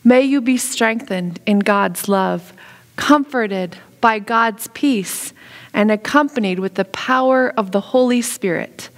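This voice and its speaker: Woman pastor, middle-aged, measured liturgical prayer, long church reverb. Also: mid-range voice. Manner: slow, measured and solemn. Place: church sanctuary, indoors.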